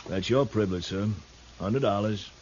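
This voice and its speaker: An actor playing a pompous, curt town judge in a 1950s radio western, formal and stern. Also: male voice, lowish voice, normal speed, lightly acted. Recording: dull, noisy 1950s radio recording.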